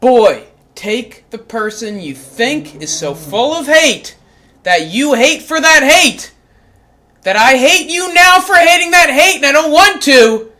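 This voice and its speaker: A performer voicing a short-tempered, foul-mouthed cat who reads a spiritual book aloud, going from calm, measured reading to yelled, swearing rants. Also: high-pitched, exaggerated voice. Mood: ranting.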